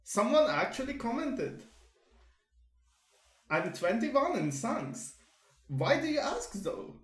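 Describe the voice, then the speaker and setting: Guy doing a nerdy insecure young manga artist, animated voice, echoey room. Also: very high voice, takes it slowly, pitched above the performer's own voice, heavily acted. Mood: nervous. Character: self-doubting, excitable young male otaku artist.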